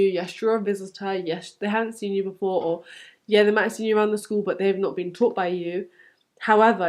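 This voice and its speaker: A young woman with a London English accent talks at a middle pitch. She gives advice in an encouraging, conversational way, like a teacher answering questions on a casual vlog. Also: a little mellow. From London, England. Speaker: supply teacher giving advice as vlog host.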